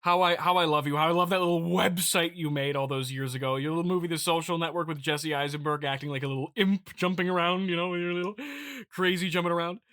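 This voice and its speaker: A man improvising a comedic monologue as a smug, petty billionaire boss, speaking in a halting, rambling, mock-pompous way. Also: a little high, steady tone, pushed and bright, dry recording, studio quality. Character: smug, petty billionaire CEO boasting to investors. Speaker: comedy podcast host.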